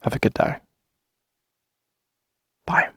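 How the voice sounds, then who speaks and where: A man playing a shy, friendly, flirty coffee shop owner in a gentle ASMR roleplay, speaking hesitantly in a husky, breathy voice. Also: dry recording, studio quality. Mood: awkward, earnest. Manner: hesitant, gentle, halting with soft chuckles. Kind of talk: soft conversational ASMR roleplay.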